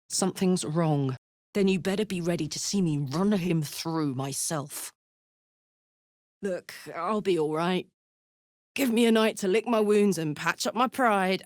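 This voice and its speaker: An actress playing a brash, warm-hearted warrior woman in a video game, with an earnest, salty, blunt manner. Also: lowish voice, measured pace, dry recording, studio quality, close to an everyday voice. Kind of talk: video game character voice lines.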